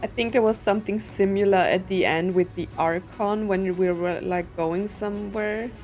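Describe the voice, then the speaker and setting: A woman streamer gives casual commentary on a game she is playing. She thinks out loud in a mid-pitched voice, with game music running behind her. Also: steady tone, normal speed. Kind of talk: casual gameplay commentary, reading on-screen text aloud. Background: video game music.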